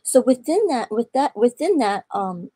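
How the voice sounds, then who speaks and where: A young woman from Atlanta, Georgia, giving an earnest personal testimony in a faith interview, quoting scripture and pausing on fillers. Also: on the high side, big pitch swings, mellow, husky, no room sound. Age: young adult. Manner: earnest, preaching tone with scripture quotes. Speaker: guest.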